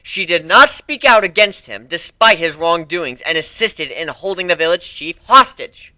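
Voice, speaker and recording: A man's voice: fairly high pitch, lively intonation, normal speed, pushed and bright, dry recording, pitched above the performer's own voice. A man voicing a firm young griffin leader who passes judgment in formal declarations during a story reading. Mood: accusing.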